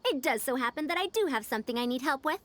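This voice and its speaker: A woman's voice: high-pitched, expressive, fast talker, a bit bright, slight background noise. A woman voicing a proud, fussy scholar in a video game, with formal, old-fashioned phrasing and the airs of an elder. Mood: pondering. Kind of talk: video game character voice acting.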